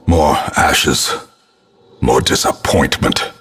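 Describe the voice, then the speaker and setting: A husky, very deep, brooding ancestor. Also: male voice, a bit bright, full character voice. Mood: bleak, foreboding. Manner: portentous, measured, ornate diction. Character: grim, brooding old male ancestor, ominous gothic narrator. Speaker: game narrator. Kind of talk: theatrical scripted gothic game narration.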